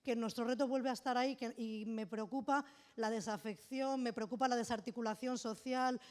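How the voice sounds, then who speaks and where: A woman's voice: a little high, steady tone, moderate pace, darkish tone. A woman from Madrid giving earnest, emphatic political remarks from the floor of an assembly in a conference hall. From Madrid, Spain. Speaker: assembly participant.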